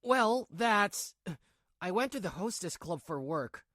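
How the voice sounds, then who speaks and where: A woman's voice: medium pitch, big pitch swings, slow, a bit bright, no room sound, studio quality, full character voice. A woman voicing a weak-willed, two-faced young husband in a manga drama, with a pleading, hesitant delivery. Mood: apologetic.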